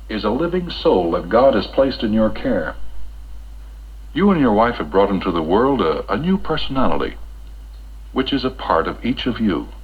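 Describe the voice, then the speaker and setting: An actor playing an earnest, kindly military chaplain in a 1960s educational film, speaking in a measured, gently probing way. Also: male voice, lowish voice, slightly rough. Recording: dull 1960s film soundtrack.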